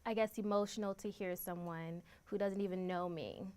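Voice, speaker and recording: Woman in her 20s, documentary interview, clear voice, slightly low, casual storytelling. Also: fairly even intonation, normal speed, a little mellow.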